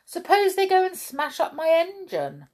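A woman's voice: extremely high pitch, huge pitch swings, moderate pace, a little mellow, pitched above the performer's own voice, exaggerated voice. A woman voicing an indignant, protesting male train driver in a children's story.

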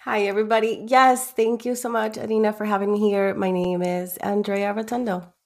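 A woman's voice: mid-range voice, level tone, a little fast, a little mellow. A woman from Ecuador, a bookkeeper guest on a podcast, explaining things eagerly, step by step, with everyday examples. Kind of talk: explanatory interview talk.

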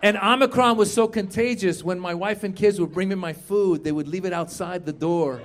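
High-voiced, animated man on stage. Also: normal speed, slight background noise. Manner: animated, shouts punchlines, jumps into high put-on voices. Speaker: stand-up comedian. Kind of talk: stand-up comedy set with crowd work. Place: comedy club stage before a live audience.

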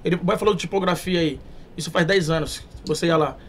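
A man with a Santos Brazilian Portuguese accent co-hosts a casual chat, talking fast and with emphasis. His voice is quite high, and clear background noise runs under it. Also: steady tone. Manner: emphatic, repeats key words.

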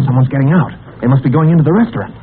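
An actor playing a brave teenage adventurer who takes charge, in a 1940s radio serial on a tinny, hissy old recording. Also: male voice, medium pitch, lightly acted. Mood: urgent. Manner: brisk and take-charge.

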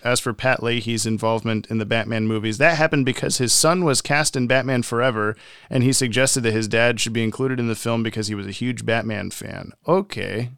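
A man's voice: medium pitch, a little fast, vocal fry, fairly bright tone, smooth, no room sound, studio quality. A man co-hosting a podcast, reading listener messages aloud in casual chat with jokey asides. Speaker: podcast co-host.

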